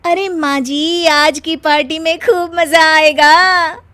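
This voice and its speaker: A woman voicing a cheerful, cheeky young daughter-in-law in a story, replying in sing-song rhymes with drawn-out endings. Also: very high voice, fairly even intonation, bright, forceful tone, voice pushed up, exaggerated voice. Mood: playful, cheerful.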